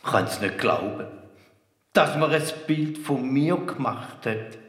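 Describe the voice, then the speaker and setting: A man's voice: mid-range voice, lively intonation, slow, fairly bright tone. A man voicing a shy, hesitant forest spirit in a children's radio play, with a Swiss German accent. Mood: amazed, overwhelmed. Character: shy, easily amazed male forest spirit. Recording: echoey, reverberant chapel sound.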